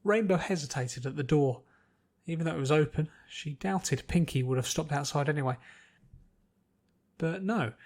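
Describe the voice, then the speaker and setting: A man with a somewhat high voice narrates fiction. His reading is scripted and expressive, in the manner of a podfic storyteller.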